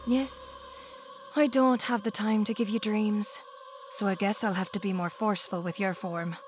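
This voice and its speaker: A woman's voice: medium pitch, steady tone, normal speed, slight background noise, lightly acted. A woman playing a cruel, gloating immortal enchantress in an audio drama, taunting and theatrical, savoring her words. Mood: smug, menacing. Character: gloating, cruel immortal enchantress who transforms people into swans.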